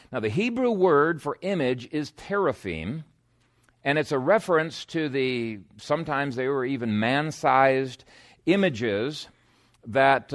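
A man preaching an expository sermon in an emphatic, conversational teaching manner. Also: mid-range voice.

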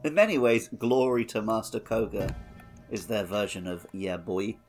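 A man's voice: lowish voice, normal speed, soft background music. A man co-hosting a podcast, chatting casually and jokily in an informal way. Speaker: podcast co-host. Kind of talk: casual chat, game playalong podcast.

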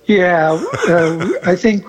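A man in his seventies, a musician guest born in Argentina and raised across South America, telling stories in a relaxed, rambling way with many fillers. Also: high-pitched, drawn-out words. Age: 70s. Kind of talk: casual conversational interview.

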